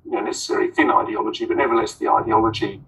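Gravelly, hesitant man on a panel. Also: fairly low pitch, steady tone, very fast, fairly bright tone, distant mic. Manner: hesitant, many ums and restarts. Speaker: author and panelist. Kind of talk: panel discussion answer.

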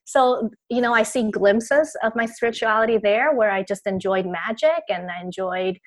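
Female guest from Taiwan, mid-pitched, reflective, chatty interview pace. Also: moderate pace. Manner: thoughtful, reflective, frequent ums. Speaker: author and guest. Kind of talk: podcast interview.

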